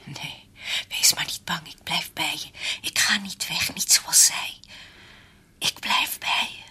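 An actress playing a devoted, possessive housekeeper secretly in love in a radio drama, whispering breathily with intimate fervour. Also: female voice, sits low, sharp tone, breathy edge, exaggerated voice. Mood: adoring, possessive. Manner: slow, intimate, fervent.

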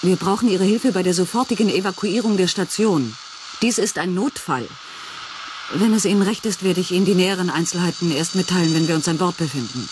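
An actress playing a commanding, determined project leader of a research station, brisk and polite, in a science fiction radio play. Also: female voice, lowish voice, close to an everyday voice. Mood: businesslike, polite. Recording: clear background noise and ambience.

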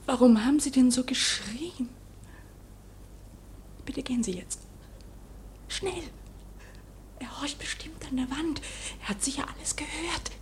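An actress in a German radio play as a tired, curious young secretary, polite and easily alarmed, breathy and often near a whisper. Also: female voice, high-pitched, unhurried, long pauses, darkish tone, a little hiss or hum. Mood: alarmed, hushed.

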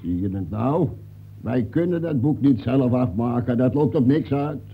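A man playing a rough, cunning crook from a manuscript in a radio play, slangy and mockingly polite, with a gravelly, husky voice. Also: mid-range voice, normal speed, slight background noise, close to an everyday voice. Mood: urgent, persuasive. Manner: slangy underworld talk, insolent mock politeness. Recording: muffled, phone-like old radio recording.

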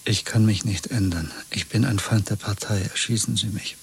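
An actor playing an exhausted, broken prisoner under torture in a radio play, halting and submissive, with a breathy voice. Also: male voice, fairly low pitch, a little hiss or hum. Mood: defeated pleading. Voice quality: husky, breathy. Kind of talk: 1970s German radio play acting.

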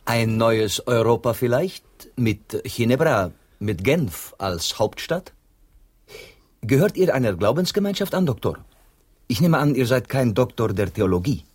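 A man's voice: mid-range voice, expressive, a little slow, a little mellow, breathy edge. A man playing a wry, courteous half-poet in a German radio drama. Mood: courteous, ironic. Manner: courteous, ironic, peppering speech with Spanish.

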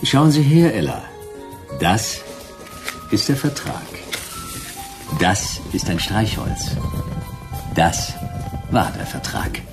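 An actor playing a laconic hard-boiled detective in a radio crime drama, with gravelly voice and dry, terse delivery. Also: male voice, on the low side, sing-song, slow, pauses often, music in the background, exaggerated voice. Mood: cool, assured. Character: laconic hard-boiled male detective, wryly narrating and talking.

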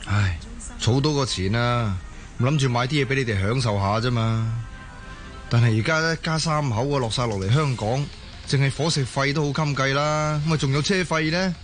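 男聲演員演繹耐心顧家嘅廣東話丈夫. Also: male voice, mid-range voice, normal speed, soft background music, close to an everyday voice. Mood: weary, explaining. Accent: Cantonese. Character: patient, reassuring working-class Hong Kong husband. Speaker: voice actor in an audio drama. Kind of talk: Cantonese radio drama dialogue.

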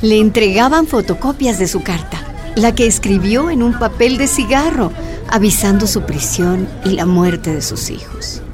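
A woman giving measured scripted narration for a radio drama. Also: mid-range voice, lively intonation, over music.

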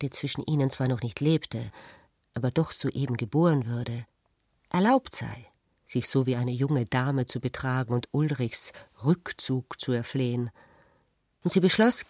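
Measured female literary narrator, dry studio. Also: a bass voice, a little mellow, dry recording.

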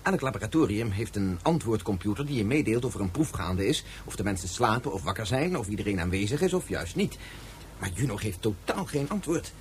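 A man's voice: lowish voice, lively intonation, brisk, close to an everyday voice. An actor playing a serious, sensible father and space pilot who explains things in a radio play. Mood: puzzled, explanatory. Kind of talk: radio drama acting. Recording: radio play with faint noise.

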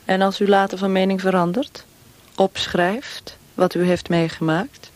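An actress playing a cold, taunting secret police interrogator in a radio drama, speaking with controlled, coolly formal menace. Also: female voice, mid-range voice, little pitch movement, a little slow, natural voice. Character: cold, mocking female secret police interrogator, perfectionist and cruel. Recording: noisy radio play recording with clicks.

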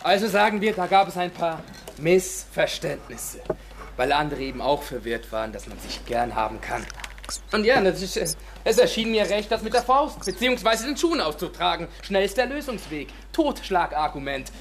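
A young village man, bitter and defiant. He speaks in halting, sarcastic bursts that swing abruptly in force, radio-drama style, over clearly audible background ambience. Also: high voice, big pitch swings, slight background noise.

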